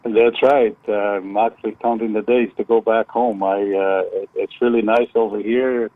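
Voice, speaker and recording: A narrow, muffled phone line carries an older man's voice. He is a guest calling a radio show, speaking casually in a lowish, fairly even pitch. He halts and repeats words as he goes. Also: moderate pace, no room sound. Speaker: phone-in guest, retired contractor.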